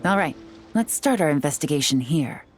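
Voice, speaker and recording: A woman voicing a cool, confident female spy in a game, smooth and teasing as she lays out her plans. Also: sits low, expressive, normal speed. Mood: wry. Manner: smooth, teasing, self-assured. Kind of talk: video game voice acting. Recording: game audio with soft music behind.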